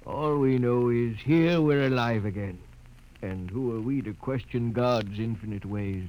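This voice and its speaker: A man playing a kindly, folksy old grandfather in old-time radio drama style, placid and unhurried. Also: mid-range voice, a little mellow, slight background noise, natural voice, rough recording. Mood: serene. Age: older.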